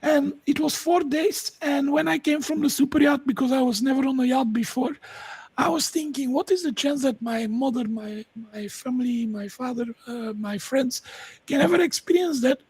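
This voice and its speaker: A woman's voice: sits high, normal speed, darkish tone, slightly rough, no echo, low-quality recording. A woman from Belgium with a Flemish accent, enthusiastically explaining projects as an interview guest. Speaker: podcast guest, tech entrepreneur. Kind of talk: conversational pitch of own projects.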